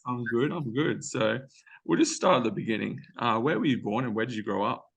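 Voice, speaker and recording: A man's voice: medium pitch, creaky, breathy edge, poor audio. Man hosting an interview. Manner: brisk, affirming with frequent yeahs. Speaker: host.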